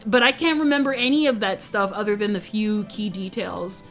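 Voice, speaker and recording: A woman's voice: mid-range voice, normal speed, a bit bright, soft background music. A young woman streamer chatting casually, rambling, self-interrupting and often giggling. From United States. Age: young adult.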